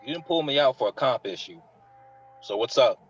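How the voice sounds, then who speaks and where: A man's voice: mid-range voice, lively intonation, moderate pace, muffled recording, slight background noise, natural voice. A man acting a tense, foul-mouthed military captain who talks in curt demands at a table read. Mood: irritated.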